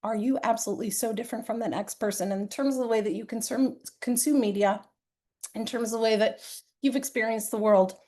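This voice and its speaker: A woman presenting at a conference session, hoarse from a cold and often clearing her throat, talking earnestly and conversationally with frequent fillers. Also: on the high side, brisk, mellow. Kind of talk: live-streamed conference talk.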